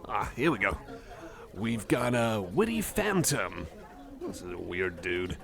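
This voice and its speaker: Low-voiced rambling man over cafe chatter. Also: very animated, slow pace, vocal fry. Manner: hesitant, meandering, geeky enthusiasm for details. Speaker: ASMR roleplay performer. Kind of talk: rambling casual chat to the listener. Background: cafe crowd ambience.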